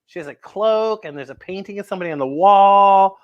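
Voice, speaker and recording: A woman hosting a children's story time, excitable and playful, with exaggerated expressive storytelling. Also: medium pitch, brisk, lo-fi sound.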